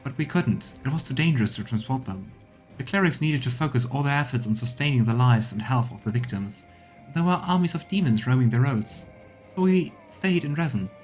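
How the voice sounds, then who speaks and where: A man's voice: mid-range voice, lively intonation, fairly quick, faint music behind, lightly acted. A man reading game dialogue aloud as an earnest, determined cleric who reports to a commander with emphatic phrasing.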